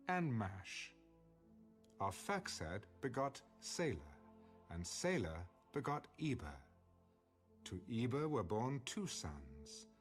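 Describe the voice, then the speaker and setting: A man reading scripture as an audiobook narrator in a measured, solemn manner. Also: lowish voice.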